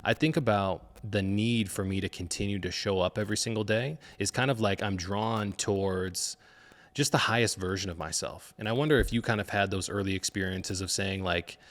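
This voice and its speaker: A man's voice: fairly low pitch, normal speed, a bit bright. A man hosting a podcast, posing long, reflective interview questions in an earnest, motivational way. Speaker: podcast host.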